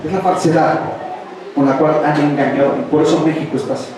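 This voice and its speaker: A Mexican man with a Mexican Spanish accent delivers an emphatic sermon, his slightly high voice throwing questions at the faithful. He sounds set back from the microphone, his words bouncing around a large, echoing sanctuary while the congregation murmurs audibly in the room. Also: fairly even intonation, fast talker, crowd noise. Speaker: pastor. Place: large church hall with congregation. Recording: echoey hall, distant mic, congregation audible.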